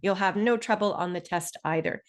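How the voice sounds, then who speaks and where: A woman with a Canadian accent explains things clearly and deliberately as a webinar presenter, stressing key terms. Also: medium pitch. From Ontario, Canada.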